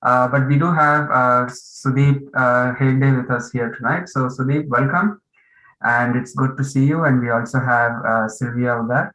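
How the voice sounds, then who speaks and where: A man's voice: mid-range voice, fairly even intonation, normal speed, echoey room, poor audio. Hesitant man hosting an online meditation class. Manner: hesitant, many fillers, warm welcoming tone. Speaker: meditation course host. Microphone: video call.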